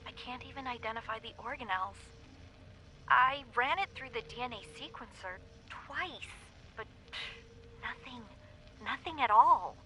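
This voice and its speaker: Bright-voiced, puzzled biologist. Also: female voice, a little high, measured pace, a little hiss or hum, low-quality recording. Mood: baffled, intrigued. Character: puzzled, diligent female biologist dictating a lab log. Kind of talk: naturalistic video game audio log.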